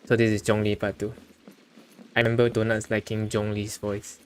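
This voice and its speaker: A man giving casual livestream commentary on a game, offhand, muttering and halting. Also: lowish voice, monotone, slight background noise. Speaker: game streamer.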